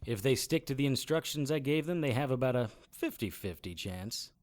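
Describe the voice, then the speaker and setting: A man plays a seasoned occult adventurer in an audio drama. He sounds mid-pitched, brisk and commanding, laying things out in a matter-of-fact, instructive way. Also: lively intonation, lightly acted. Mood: brisk, instructing. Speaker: lead actor and narrator. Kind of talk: audio drama acting and first-person narration.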